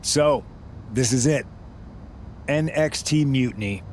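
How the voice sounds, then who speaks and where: A man's voice: medium pitch, slow, background noise, lightly acted. A man playing a persuasive, scheming leader of a wrestling faction in a video game. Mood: persuasive, assured. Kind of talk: scripted game cutscene dialogue.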